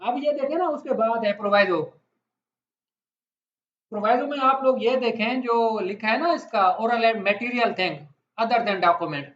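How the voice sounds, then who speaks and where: High-pitched Pakistani man lecturing on law. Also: unhurried, fairly bright tone. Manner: conversational, puts questions to listeners. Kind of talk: explanatory lecture with everyday examples. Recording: echoey room, dull lo-fi sound.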